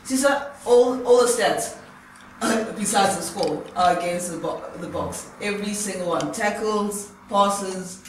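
A man with a high, bright voice hosts a relaxed sports panel. He talks with a teasing, lively edge. The sound is roomy and picked up from some distance, with a faint hiss behind. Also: a little slow. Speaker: show host. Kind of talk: casual studio sports chat. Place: small studio room, indoors. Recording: echoey room from a distance, faint noise.

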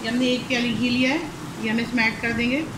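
A woman with a Pakistani Urdu accent and a high voice calmly walks through a recipe step by step. She is recorded on a camera mic over the stove in a roomy, echoing kitchen. Clear sizzling and frying sound behind her. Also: fast talker. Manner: calm step-by-step explaining. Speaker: cooking channel host. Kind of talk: cooking demonstration voice-over. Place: home kitchen. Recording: echoey kitchen with sizzling noise.

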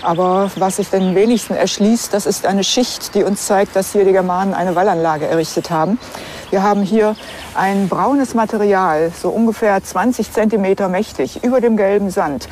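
A woman's voice: medium pitch, moderate pace, darkish tone, a little hiss or hum. A woman speaking as an archaeologist, explaining in a matter-of-fact interview style.

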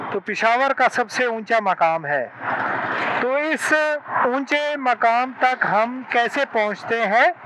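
Male moto vlogger, Pakistani accent, notably high pitch, very slow explanatory delivery, noisy outdoor traffic recording. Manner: explanatory, addresses viewers directly. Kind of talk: moto vlog commentary to viewers. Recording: loud vehicle and road noise.

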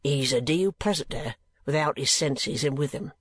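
A woman doing a gruff, drawling old keeper. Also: deep voice, normal speed, no room sound. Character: gruff working-class male asylum keeper, sulky.

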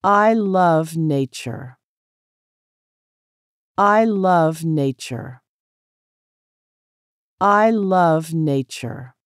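A woman with an American accent reading English practice sentences clearly and carefully, repeating each one for learners to copy. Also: sits low, animated, very slow, long pauses, dry recording, studio quality.

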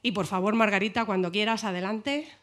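Middle-aged woman, hotel director, bright forceful voice, chatty speech, podium mic. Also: mid-range voice, a little fast. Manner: chatty with fillers and restarts. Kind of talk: speech at an event. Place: hotel lounge with large windows. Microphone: podium mic on a stand.

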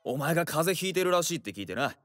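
A melodramatic, high-strung anime guard. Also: male voice, very animated, rapid-fire, over music. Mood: friendly, relaxed. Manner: clipped and commanding, melodramatic when rattled. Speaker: anime voice actor. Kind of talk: dramatic Japanese anime acting.

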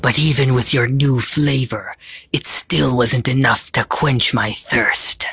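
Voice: mid-range voice, slow, a bit bright, slightly rough, dry recording, pitched above the performer's own voice, exaggerated voice. A storyteller voicing a taunting, theatrical phantom that gloats and hungers for misery. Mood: gloating.

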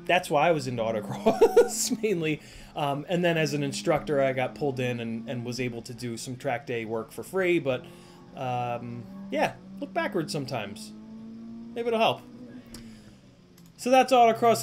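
A man in his thirties streaming live from a home workshop, chatting casually with viewers, rambling and swearing with lots of fillers. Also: a little high. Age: 30s. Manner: rambling, profane, full of fillers and restarts. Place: home workshop room, indoors.

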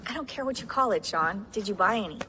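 A woman's voice: medium pitch, lively intonation, quick pace, pushed and bright, a little hiss or hum. Practical, candid tech woman. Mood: casual. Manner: casual, matter-of-fact. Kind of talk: naturalistic video game acting.

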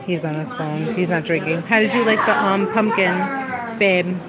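Chatty woman vlogging in a loud crowd. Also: lowish voice, normal speed. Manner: chatty, self-mocking, laughing easily. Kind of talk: casual vlog chat. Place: busy winery patio, indoors-outdoors. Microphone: phone at arm's length. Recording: phone video amid loud crowd.

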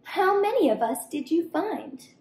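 A woman's voice: extremely high pitch, sing-song, a little slow, darkish tone, pitched above the performer's own voice, heavily acted. Woman reading as a boastful storybook crocodile, echoey room. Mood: impatient. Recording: roomy indoor echo.

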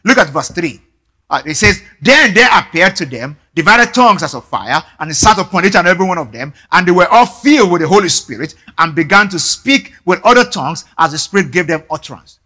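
Bright-voiced pastor preaching. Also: male voice, sits high, a little fast. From Nigeria. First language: Yoruba. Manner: animated preaching with gestures, frequent restarts. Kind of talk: sermon. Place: large church stage indoors.

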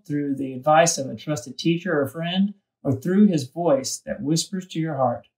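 Gravelly man reading, off the mic. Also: a little high, a little mellow, recorded from a distance, poor audio. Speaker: kids' devotional reader. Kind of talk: scripted devotional reading and prayer for children.

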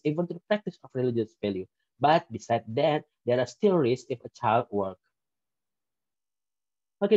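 A man's voice: medium pitch, warm tone, dry recording. A man in his thirties from Indonesia, speaking English with an Indonesian accent, giving a recorded conference presentation with some careful restarts. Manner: careful non-native English, occasional restarts. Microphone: headset. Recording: dull lo-fi dry sound.

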